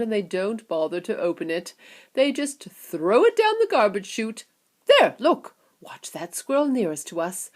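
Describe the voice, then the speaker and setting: A woman reading an audiobook, voicing an eccentric, excitable male chocolate maker who talks eagerly and emphatically. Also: medium pitch, pitched above the performer's own voice.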